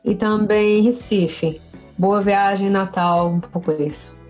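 A Canadian woman guest on a casual podcast, speaking Portuguese as a second language with a slow, halting manner. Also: mid-range voice, steady tone, darkish tone, faint music behind. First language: English.

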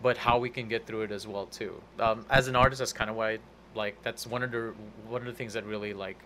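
A man's voice: fairly low pitch, fairly even intonation, brisk, pushed and bright, a little hiss or hum. A man hosting a live podcast, rambling through his thoughts with many fillers and restarts. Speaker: podcast host. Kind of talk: casual podcast chat.

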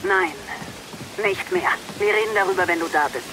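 An actress playing an urgent, battle-worn resistance fighter in a game audio drama, brisk, over a radio link. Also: female voice, fairly low pitch. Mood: urgent, weary. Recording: radio transmission with faint noise.

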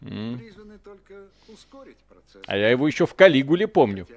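A man's voice: medium pitch, very animated, moderate pace, gravelly. A man hosting a live video game stream, chatting casually in slangy, joking language with mild expletives.

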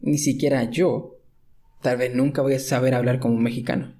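Gravelly Chilean man hosting a podcast. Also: medium pitch, steady tone, a little fast, dark tone. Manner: hesitant, restarts and fillers. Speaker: podcast host. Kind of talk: casual conversational podcast.

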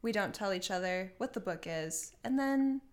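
A woman's voice: mid-range voice, expressive, moderate pace. A woman co-hosting a podcast in casual chat, with a profane and giggly manner. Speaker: podcast co-host. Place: living room.

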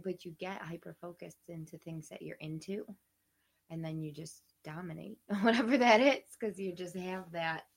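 A woman's voice: on the low side, gravelly, husky. A woman in her thirties hosting a casual livecast while sick and losing her voice, rambling and laughing, sometimes dropping to a whisper. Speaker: livecast host. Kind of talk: casual chat.

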